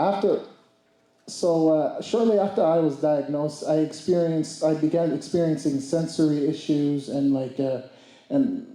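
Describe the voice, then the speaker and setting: A man in his twenties giving a speech at a community summit, halting with restarts and mixing in Somali phrases. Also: a little high, dark tone, echoey room, rough recording. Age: 20s. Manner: hesitant, many restarts and fillers; mixes Somali phrases into English. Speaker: speaker. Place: event hall.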